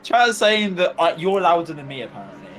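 Male teammate, teasing banter, animated pitch, clear line noise. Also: a little fast. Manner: playful, teasing. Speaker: teammate on voice chat.

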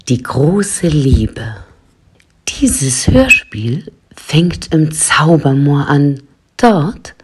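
Female storyteller for audio drama: deep, slow, scripted narration. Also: a little mellow, husky.

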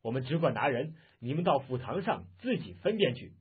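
A man's voice: mid-range voice, big pitch swings, fairly quick, slightly rough. A man doing a barking imperial officer. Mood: uneasy. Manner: loud, barking orders. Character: blustering male imperial army officer. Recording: lo-fi phone-like audio.

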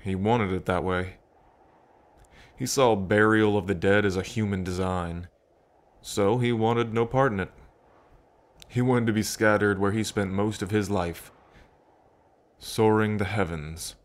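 A dragon king, acted by a man speaks intimately to the listener in an ASMR roleplay. His voice sits fairly low and he takes his time, very slow and musing, with pauses between thoughts. He comes across as fond, reflective and proud of his ancestors. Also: fairly even intonation, lightly acted. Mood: reverent, reflective. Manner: unhurried, musing, pausing, softly chuckling between thoughts.

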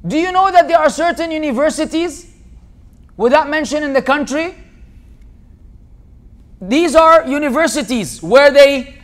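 A man in his thirties from Lebanon with an American-sounding accent, giving an animated public lecture from behind a table with a tabletop microphone. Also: extremely high pitch. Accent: American-sounding English. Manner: animated, gesturing with both hands, acts out quoted people. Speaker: Islamic lecturer. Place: lecture hall, seated at a table. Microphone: small tabletop mic on a tripod.

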